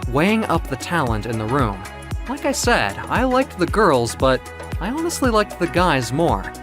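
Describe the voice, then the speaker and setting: A man narrating a scripted first-person story in a dramatic, emotive way. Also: mid-range voice.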